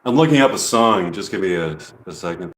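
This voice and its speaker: A man from Chicago chatting hesitantly on a live stream between performing his songs, pausing to look things up. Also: low-pitched, lively intonation, fairly bright tone. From Chicago, USA. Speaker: singer-songwriter performing live. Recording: echoey room with guitar behind. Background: guitar.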